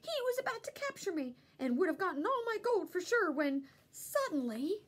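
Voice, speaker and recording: A woman reading a children's storybook aloud like a teacher, expressively and with animated delivery. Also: very high voice. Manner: animated, pausing to ask listeners questions.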